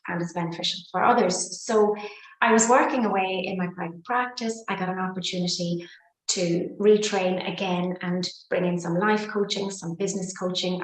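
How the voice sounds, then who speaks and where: Guest: Irish woman, 50s, fluent and expressive storyteller, roomy echo. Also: fairly low pitch. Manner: warm, expressive and fluent, with folksy sayings. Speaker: podcast guest, psychotherapist and coach. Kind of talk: reflective interview talk.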